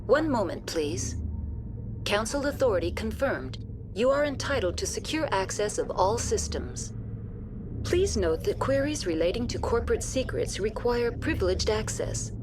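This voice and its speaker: A woman playing a calm, polite virtual intelligence in a video game, speaking in formal, procedural phrases without emotion. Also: a little high, moderate pace, noisy background. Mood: polite, impassive. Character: calm polite female virtual intelligence running a research facility. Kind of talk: scripted game character dialogue.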